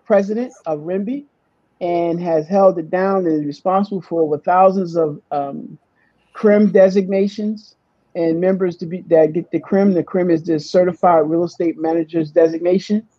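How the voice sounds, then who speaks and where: A podcast host with a mellow, soft-edged voice who introduces guests in a live online session. The delivery is halting and often doubles back to restart phrases. The sound is dry and a little dull, as over a video call. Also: mid-range voice, fairly even intonation, no room sound.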